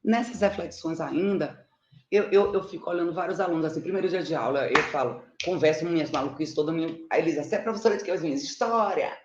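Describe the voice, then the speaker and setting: A woman's voice: deep voice, fast talker, background noise. A middle-aged woman from Salvador with a Bahian Brazilian accent gives an informal online presentation as a teacher, chatty and self-deprecating, often checking with her listeners. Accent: Bahian (Salvador) Brazilian Portuguese. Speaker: mathematics teacher presenting research. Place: indoors, on an online conferencing platform. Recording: muffled, roomy online call audio.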